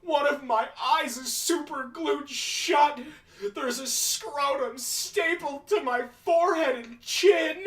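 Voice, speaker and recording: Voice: high voice, takes it slowly, a bit bright. An actor voicing an agonized male living snowman in a comic radio play, wailing and pleading melodramatically. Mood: anguished. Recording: roomy, echoing, distant from the mic.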